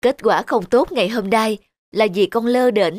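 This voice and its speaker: A woman voicing a patient, firm mother rabbit in a children's cartoon, speaking in a measured, instructive way. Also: a little high, no room sound, clean studio sound, natural voice. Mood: firm, forgiving. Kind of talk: animated children's cartoon voice acting. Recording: music behind the voice.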